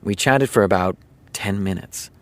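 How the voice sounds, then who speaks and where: Casting a man for audio drama: easygoing, earnest TV writer, fairly deep, dry studio sound, naturalistic confiding delivery. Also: no echo, close to an everyday voice. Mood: confiding storyteller. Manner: casual, confiding, storytelling with dramatic pauses. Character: easygoing male TV showrunner, chatty earnest raconteur.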